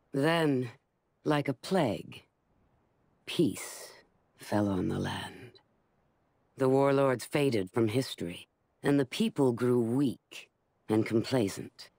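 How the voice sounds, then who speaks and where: An actor playing a proud, grave medieval knight, narrating a game cutscene in a solemn, measured and portentous way. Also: male voice, mid-range voice, no echo. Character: proud, grave medieval knight recounting his order's history. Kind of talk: scripted dramatic cutscene narration. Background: loud dramatic music.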